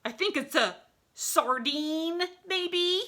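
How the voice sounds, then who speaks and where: A woman voicing a frightened, confused dog in a storybook, with a shrill and dramatic delivery. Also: expressive, slow, sharp tone, full character voice. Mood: baffled. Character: panicking pound dogs, frightened, confused, shrieking.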